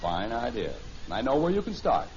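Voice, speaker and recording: A man's voice: medium pitch, sing-song, normal speed. An actor playing a genial, middle-aged suburban father with dry, teasing humor in a 1950s radio sitcom. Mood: wry. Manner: dry, gently wry humor. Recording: muffled, noisy vintage radio recording.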